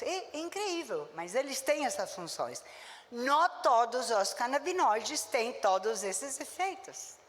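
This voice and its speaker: Woman doc giving a blunt, punchy lecture, big echoey conference hall. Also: on the high side. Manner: emphatic and blunt, with short punchy verdicts. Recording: long reverb of a lecture hall.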